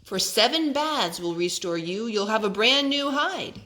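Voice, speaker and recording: A woman voicing an old male prophet from a rhyming storybook, speaking softly and reassuringly. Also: on the high side, animated, measured pace.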